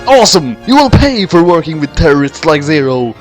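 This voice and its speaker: A man voicing an earnest, angry young soldier pilot, exclaiming forcefully in a story reading. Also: a little high, very animated, fairly quick. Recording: music playing behind the voice.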